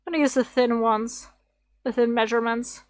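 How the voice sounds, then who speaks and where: A woman streamer with a slightly raspy voice, rambling and thinking aloud as she draws on a casual livestream. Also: a little high, steady tone, a little fast, no room sound, studio quality. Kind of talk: casual drawing livestream chat.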